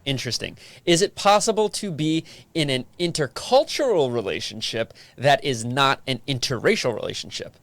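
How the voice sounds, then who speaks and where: A man's voice: mid-range voice, lively intonation, a bit bright, dry recording. A man hosting a relationship podcast, chatting casually and asking his guest questions. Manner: friendly, asks rapid-fire questions. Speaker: podcast host. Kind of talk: casual interview chat.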